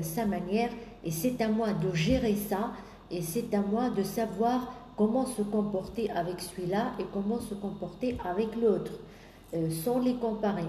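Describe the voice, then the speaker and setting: A woman business coach giving a sales coaching talk, pausing often on drawn-out fillers and listing points in repetition. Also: medium pitch, warm tone. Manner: hesitant, many drawn-out "euh" fillers, repetitive lists. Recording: echoey room with faint background noise.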